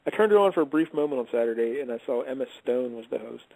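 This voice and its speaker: Quick-talking man steering a radio chat. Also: mid-range voice, animated, vocal fry, darkish tone, no echo, low-quality recording. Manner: brisk, steers the talk with questions. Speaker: host.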